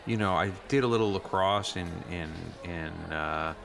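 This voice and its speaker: A man playing an earnest teenage new student in an improvised radio play, talking haltingly in casual teen slang. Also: low-pitched, a bit bright, soft background music. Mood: earnest, self-doubting.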